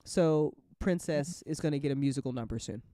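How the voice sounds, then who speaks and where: A woman chatting casually as an audio drama creator and host, with an animated, sweary, slangy way of talking. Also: deep voice, fairly quick, no room sound. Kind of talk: casual chat.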